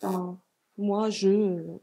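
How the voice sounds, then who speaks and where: Halting woman. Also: medium pitch, steady tone, creaky, mellow. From Tunisia. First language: Arabic. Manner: halting, full of hesitations and restarts. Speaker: guest artist. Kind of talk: reflective panel talk.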